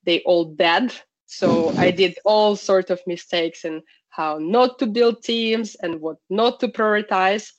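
A woman's voice: mid-range voice, slow. A woman from Russia, a podcast guest introducing her product work in casual chat with frequent fillers. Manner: speaks with frequent fillers, slightly non-native grammar. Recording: dull lo-fi call audio.

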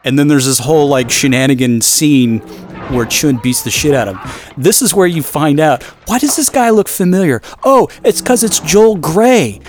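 A man's voice: on the high side, animated, normal speed. A man co-hosting a film podcast in a casual, rambling, exasperated way, swearing freely. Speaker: podcast host.

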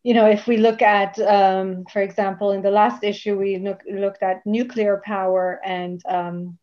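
A woman's voice: medium pitch, little pitch movement, unhurried. Woman from luxembourg on a video call, matter-of-fact, kinda muffled audio. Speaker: guest, magazine founder. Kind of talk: casual interview over video call. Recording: slightly dull sound.

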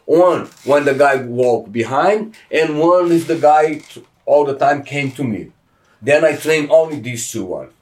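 A middle-aged Brazilian man speaking English with a heavy Portuguese accent, telling stories in an emphatic, animated way. His pitch climbs sharply when he stresses a point. He sounds a little distant from the mic. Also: measured pace. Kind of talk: casual interview.

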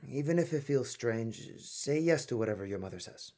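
A man acting in a radio play as an authoritative, conflicted middle-aged colonel and father, husky and emphatic. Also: medium pitch, animated, normal speed, warm tone, a little hiss or hum, natural voice. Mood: reassuring.

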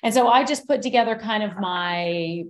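A woman in her fifties, a guest in a casual interview, talking in a chatty, animated way with frequent restarts. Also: mid-range voice, normal speed. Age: 50s.

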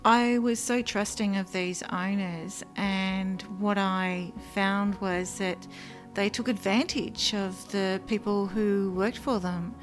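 A woman, a guest in an interview, telling of her past work in an earnest, measured way with frequent ums. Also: mid-range voice, steady tone, music in the background. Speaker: former psychic line worker, guest. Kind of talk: interview, personal recollection.

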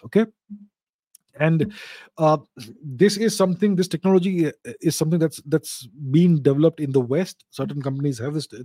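Mellow higher-pitched Indian man explaining. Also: no room sound. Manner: emphatic, repeats key phrases for stress. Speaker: host of a question-and-answer show. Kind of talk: unscripted explanatory commentary answering audience questions.

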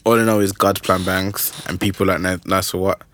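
A young man hosting a podcast, chatting casually about music in a rambling, animated way with lots of restarts. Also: low voice, brisk. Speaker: podcast host.

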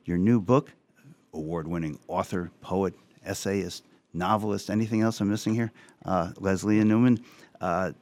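A man's voice: low-pitched, darkish tone. A man hosting a local radio talk show, chatting through the day's newspaper headlines with asides.

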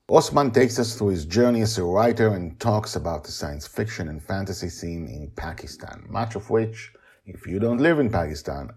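A man hosting a podcast, reading a deliberate, earnest intro. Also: deep voice, a little mellow, breathy edge. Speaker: podcast host.

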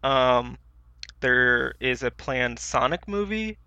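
A man's voice: mid-range voice, lively intonation, takes it slowly, bright, forceful tone, clean tone, no room sound. A man co-hosting a podcast in casual, slangy, informal chat, on a slightly dull recording. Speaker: podcast co-host. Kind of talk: casual chat about pop culture.

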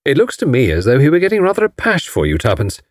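A man voicing a dry, mildly disapproving young British husband with a crisp manner, reading an audiobook. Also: medium pitch, wildly swooping pitch, fast talker, no echo, voice pushed up. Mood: cool.

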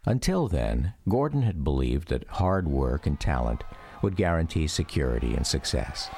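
A man's voice: rumbling low, very animated, normal speed, creaky, a little mellow, a little hiss or hum. A man giving scripted memoir narration for a radio documentary, reflective and storytelling.

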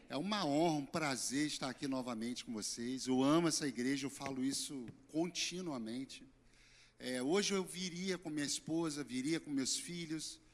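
A hoarse middle-aged man with a Brazilian Portuguese accent, preaching an earnest, emphatic sermon as a pastor. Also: on the high side. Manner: earnest, emphatic preaching with sudden loud and soft swings. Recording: church hall reverb.

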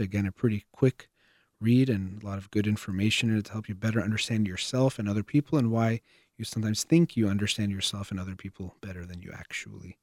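A middle-aged man hosting a call-in psychology radio show, explaining things in a calm, measured way. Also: lowish voice, no echo, studio quality. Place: radio studio.

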